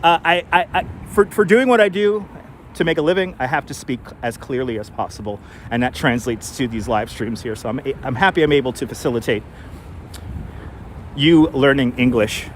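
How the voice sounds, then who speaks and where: A man's voice: mid-range voice, moderate pace. A man with a mild New York accent hosting a casual walking live stream outdoors, talking to viewers with lots of fillers and restarts on a clip-on mic. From New York City. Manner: chatty, thinks aloud with fillers and restarts. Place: outdoors on city streets. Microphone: wireless clip-on mic. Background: faint street traffic.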